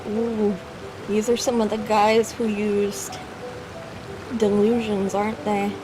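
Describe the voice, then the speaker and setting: A woman's voice: mid-range voice, flat delivery, slow pace, low-quality recording. A woman streamer making giggly, unsure asides while playing a story game. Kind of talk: casual reactions during gameplay. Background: game music.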